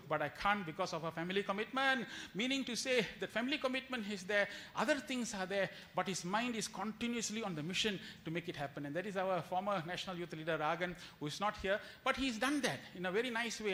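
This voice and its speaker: High-pitched, bright-voiced man preaching. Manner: animated storytelling with acted-out quotes and rhetorical questions; repeats phrases for emphasis. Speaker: spiritual retreat speaker. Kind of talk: devotional lecture to an audience.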